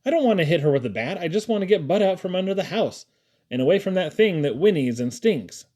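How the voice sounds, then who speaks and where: A grumbling storybook father, voiced by a man, raised in pitch with lively swings. He sounds bewildered and exasperated by his household. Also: fast talker.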